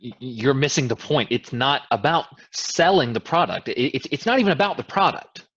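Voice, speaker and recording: An actor in a staged play reading, playing an evasive man selling a scheme, halting and exasperated. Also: medium pitch, lively intonation, fairly bright tone, slightly rough, lo-fi sound. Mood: pitching.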